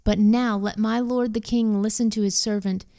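A woman as an earnest young warrior, mellow and hushed. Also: mid-range voice, fairly even intonation, normal speed, creaky, close to an everyday voice. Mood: aggrieved pleading. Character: David, bold young male fugitive warrior, earnest and reverent.

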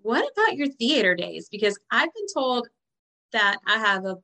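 A woman interviewer with a bright, projecting voice that sits a little high. She answers her guest with affirming, reflective comments before she moves on to her next question. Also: very animated, normal speed. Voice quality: loud projecting voice. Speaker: interviewer, host. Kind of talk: conversational interview.

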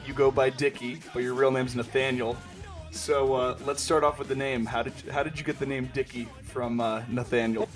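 A man hosting an interview podcast, asking brief questions in a relaxed, casual way. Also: medium pitch, a little fast, soft background music. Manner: laid-back, brief questions. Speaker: podcast host.

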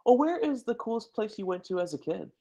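A man's voice: sits high, big pitch swings, fairly quick, vocal fry, dark tone. Joking, self-deprecating male host. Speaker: podcast host. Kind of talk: casual interview chat.

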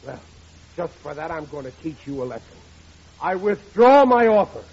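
A man's voice: fairly high pitch, very animated, moderate pace, a little mellow, slightly rough, heavily acted. An actor playing a stubborn, boastful, bumbling middle-aged American father in an old-time radio sitcom, with a blustering manner. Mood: indignant. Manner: blustering, malaprop-prone. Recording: muffled, noisy vintage radio recording.

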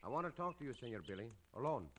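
An actor playing a bold, gallant Mexican cowboy hero with a Mexican accent in an old radio western. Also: male voice, medium pitch, very animated, rapid-fire, a bit bright. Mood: challenging. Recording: noisy vintage radio recording.

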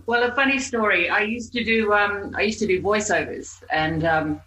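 A woman's voice: mid-range voice, moderate pace, bright, forceful tone, a little hiss or hum, poor audio. A woman from New Zealand with a New Zealand accent tinged Australian, chatting as a podcast guest in an upbeat manner. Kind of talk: casual interview chat.

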